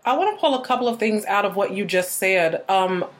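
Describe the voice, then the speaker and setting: A woman's voice: mid-range voice, animated, brisk. A woman hosts a podcast with an upbeat, polished delivery, giving her words a lilting lift. Her voice sits in an ordinary middle range. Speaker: podcast host. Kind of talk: podcast interview hosting.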